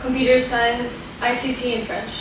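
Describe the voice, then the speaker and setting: A teenage girl from Pakistan, a student guest, gives short, soft answers in a higher, flat voice. She sits well back from the mic in a very echoey room. Faint noise runs behind her. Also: moderate pace, recorded from a distance. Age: teens. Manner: brief, soft-spoken answers.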